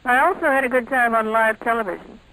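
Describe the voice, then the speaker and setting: An older woman reminiscing in a relaxed interview as a guest. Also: on the high side, fast talker, dry recording, low-quality recording. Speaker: interview guest, former radio performer.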